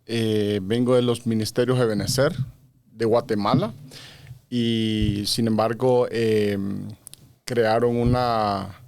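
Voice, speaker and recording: Male guest, earnest explainer, mid-pitched, casual talk. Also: steady tone, slow pace, darkish tone, clear, resonant tone. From Honduras. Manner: earnest, explains at length with many fillers. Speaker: podcast guest. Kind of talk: conversational interview.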